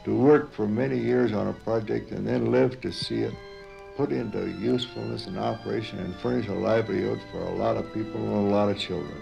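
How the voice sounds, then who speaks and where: Gravelly older American man reminiscing. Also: on the low side, steady tone, highs cut off, over music. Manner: halting, deliberate, plain-spoken. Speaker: retired professor and engineer interviewed. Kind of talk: unscripted reminiscence in radio interview.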